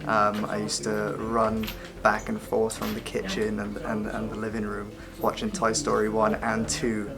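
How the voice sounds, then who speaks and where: Gravelly young man, flat delivery. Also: on the low side, unhurried, few pauses. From Wales. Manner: hesitant, repeats words. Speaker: film apprentice. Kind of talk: interview answers. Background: background music.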